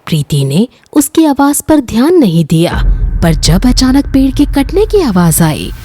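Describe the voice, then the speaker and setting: Female storyteller for children's tales: mellow, unhurried, expressive and dramatic narration. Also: a little high, a little hiss or hum.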